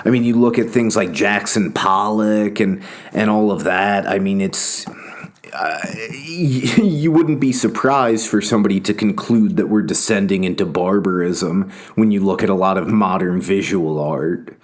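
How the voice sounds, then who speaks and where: A man's voice: lowish voice, a little slow. A man hosting a casual commentary monologue, rambling and self-interrupting, often breaking into laughter. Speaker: host.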